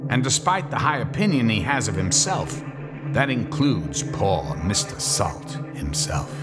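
Male, dramatic mocking storyteller for horror radio. Animated delivery, prominent music bed. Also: fairly low pitch, measured pace. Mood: ominous, sardonic. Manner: dramatic, sardonic. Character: ominous, knowing male narrator, dryly mocking. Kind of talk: scripted horror story narration. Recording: voice over loud music.

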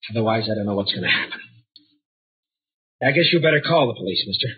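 An old radio drama voice, narrow and muffled: a tormented, fearful man on the edge. The delivery is halting and intense, very husky, swinging widely in pitch. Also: expressive. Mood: desperate. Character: desperate, panicky man tormented by fear of darkness. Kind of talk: 1950s radio drama acting.

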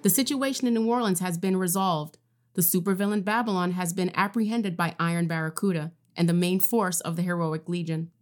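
An actress playing a composed female radio news correspondent, reading a serious report in a measured, formal delivery. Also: on the low side, faint music behind, natural voice.

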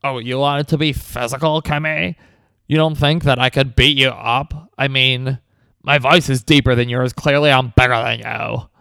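A smug, prim cupcake shop owner who insists she is the tougher one, as a man plays her in his own voice. The sound is smooth and resonant, close and dry. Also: steady tone, moderate pace, pushed and bright, no echo. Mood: threatening. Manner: smug, prim, lower put-on voice.

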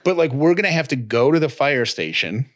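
A man co-hosting a podcast with his wife, talking in an animated, rambling, self-mocking way. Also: medium pitch, fairly quick. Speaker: podcast co-host. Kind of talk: casual chat.

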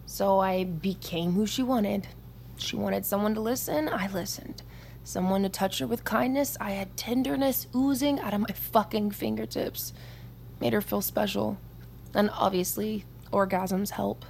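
An actress playing a cocky, foul-mouthed young army recruit in a naturalistic audio drama, with a flippant, teasing manner. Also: female voice, mid-range voice, fairly even intonation, a little slow, gravelly, darkish tone, slight background noise. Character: brash, cocky young female army recruit, manipulative, wounded.

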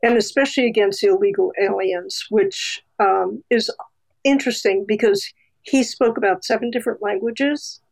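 A woman's voice: mid-range voice, moderate pace, creaky, poor audio. A woman from New Jersey, a documentary filmmaker, telling a personal story in a candid, chatty way with many fillers. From New Jersey, USA. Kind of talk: casual interview storytelling.

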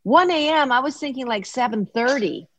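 A woman's voice: high-pitched, lively intonation, normal speed, a bit bright, no echo. A Canadian woman hosting a casual interview podcast, chatty and rambling, often laughing. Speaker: podcast host. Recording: dry, dull lo-fi sound.

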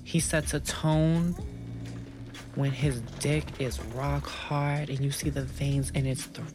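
An actress playing a bold young woman who describes a fantasy in a breathy, unfiltered, swearing way, in an audio drama. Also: low-pitched, level tone, slow pace, over music. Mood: brash.

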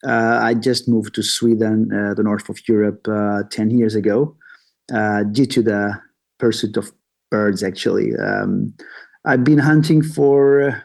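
A man from Portugal with a Portuguese accent, answering interview questions in halting English as he searches for words. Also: lowish voice, steady tone, vocal fry, a little mellow. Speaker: podcast guest, bird hunter. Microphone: remote call.

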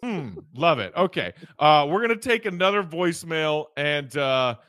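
A man's voice: on the high side, expressive, moderate pace, a bit bright, no echo, clean studio sound. A man co-hosting a sports podcast in casual, joking, informal talk.

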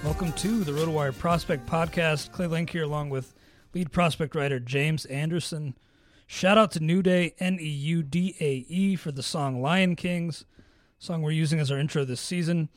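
Raspy-voiced male podcast host. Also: a little high, normal speed, dry recording, faint music behind. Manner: clears throat often. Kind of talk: casual fantasy baseball chat.

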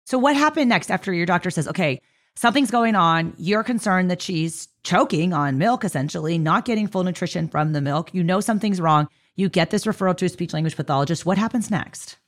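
Female podcast host, lower voice, conversational interviewer who explains and asks detailed questions. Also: expressive, fairly quick.

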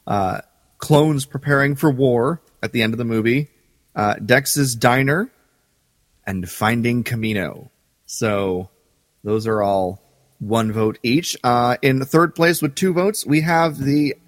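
A man's voice: on the low side, no room sound, in a large hall. A man co-hosting a podcast in a casual, conversational style. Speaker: podcast co-host. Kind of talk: casual podcast chat, reading out listener results.

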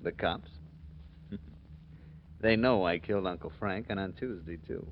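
A man's voice: sits low, unhurried. A man acting a cocky, boastful killer and gangster boyfriend in slangy, swaggering 1940s radio style. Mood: gloating. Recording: muffled, noisy vintage 1940s radio recording.

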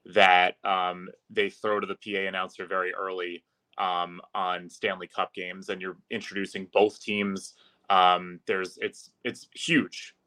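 Bright, forceful low-voiced man. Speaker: podcast guest, arena public address announcer. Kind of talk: casual sports interview chat.

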